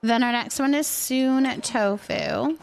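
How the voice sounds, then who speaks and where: A woman's voice: high voice, slow, slight background noise. A woman hosting a game livestream, chatting casually with viewers in a giggly, talkative manner. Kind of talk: casual chat with viewers while playing a cooking game.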